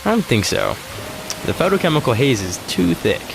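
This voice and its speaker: A man's voice: mid-range voice, sing-song, moderate pace, vocal fry, noisy background. An actor playing an earnest, literal-minded male astronaut in an audio sci-fi drama, hesitant and full of puzzled questions. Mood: bemused, practical. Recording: music behind the voice.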